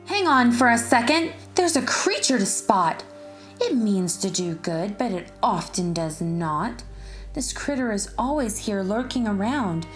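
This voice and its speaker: Female storybook narrator for kids, lively pitch swings, unhurried pacing, music underneath. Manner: animated, deliberate, addressing young listeners. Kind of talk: expressive rhyming read-aloud for children.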